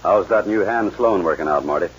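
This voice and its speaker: An actor playing a gruff, practical ranch boss in a 1940s radio western. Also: male voice, sits low, brisk, loud music behind the voice, lightly acted. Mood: matter-of-fact. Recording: dull old radio recording.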